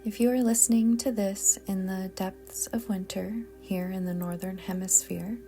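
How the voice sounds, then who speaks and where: A woman leads a guided meditation in a mellow, very even voice. She is soft and breathy, often slipping toward a whisper, with a soothing, deliberate manner. Faint music sits behind her. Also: medium pitch. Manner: calm, soothing, gentle, deliberate. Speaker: yoga nidra meditation guide. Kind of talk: slow guided meditation narration.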